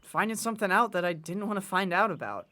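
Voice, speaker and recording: A man's voice: sits high, animated, fast talker, pushed and bright. An actor playing an earnest working-class teenage boy in a nostalgic audio drama, hesitant and folksy in his slang. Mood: worried.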